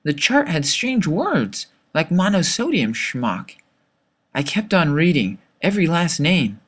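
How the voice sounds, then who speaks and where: A man reading a rhyming children's story as its author, with dramatic, expressive delivery. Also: a little high, measured pace.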